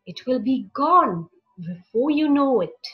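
A woman narrating a picture book for young children, with deliberate, expressive phrasing and short pauses. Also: sits high, phone-quality sound. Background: music.

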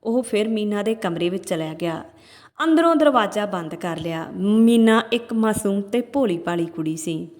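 A woman's voice: mid-range voice, expressive, normal speed, a little mellow. A woman narrating a dramatic first-person story from a script, as a storyteller. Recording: long hall-like reverb on voice.

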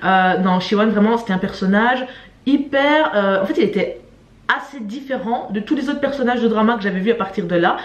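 A woman's voice: mid-range voice, a little fast, highs cut off. A young adult woman chatting casually and expressively to camera in an informal vlog, often stressing her words. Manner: informal, expressive, emphatic repetition. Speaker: YouTube vlogger presenting to camera. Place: small room at home. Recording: roomy indoor echo.

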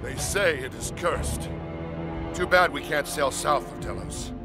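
An actor playing a fearful, superstitious ancient sailor in a video game, anxious and urgent. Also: male voice, on the high side, unhurried. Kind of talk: video game cutscene acting. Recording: loud music behind the voice.

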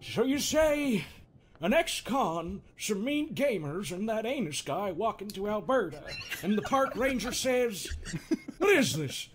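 A man playing a quirky, needling therapist in an improvised comedic game dub, speaking theatrically with halting pauses and self-amused chuckles. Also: sits high, big pitch swings. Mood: needling, wry.

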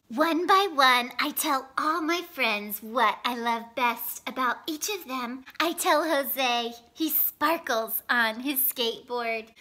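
A woman in her thirties reading a children's storybook aloud in an expressive, animated way, phrased for young listeners. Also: sits high. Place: living room at home, indoors.

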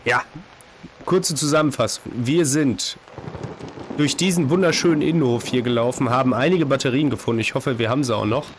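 Hoarse man chatting over a horror game. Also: medium pitch, brisk, slight background noise. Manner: chatty, jumpy reactions to scares. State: hoarse from a cold. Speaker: let's play host. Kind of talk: casual gameplay commentary. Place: home streaming room, indoors. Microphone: desk microphone, headset on.

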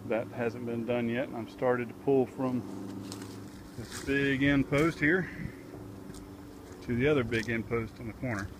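A man's voice: mid-range voice, steady tone, a little slow, long pauses, noisy background. Plain-spoken man narrating outdoor fence work. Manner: plain, practical, thinks aloud with fillers. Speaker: DIY vlogger. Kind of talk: casual how-to narration. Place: wooded backyard outdoors. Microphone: handheld camera mic.

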